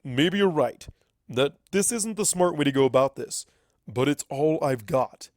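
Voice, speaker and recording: A man voicing a weary, resolute wizard pony who is a father, speaking gravely and firmly in a story reading. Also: fairly high pitch, a little slow, dry recording, clean studio sound, close to an everyday voice. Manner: grave, firm.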